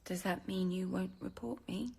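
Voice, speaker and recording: Breathy rebellious young novice, reverberant. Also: female voice, on the low side, steady tone, moderate pace, darkish tone. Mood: feigned innocence. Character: rebellious, scheming sixteen-year-old novice girl. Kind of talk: radio drama acting and inner monologue.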